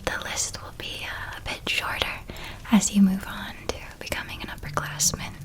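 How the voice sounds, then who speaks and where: A woman voicing a gentle, reassuring witch guide in a whispered ASMR roleplay, hushed and soothing as she tells things. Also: close to an everyday voice. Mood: gentle, helpful. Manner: hushed, soothing, unhurried storytelling. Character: gentle, reassuring witch guide helping a young academy student.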